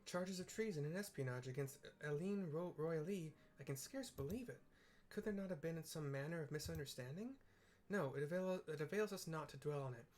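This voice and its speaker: A man voicing an earnest woman leader in a fantasy game, with formal, measured, archaic phrasing. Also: on the high side, darkish tone, faint music behind, natural voice.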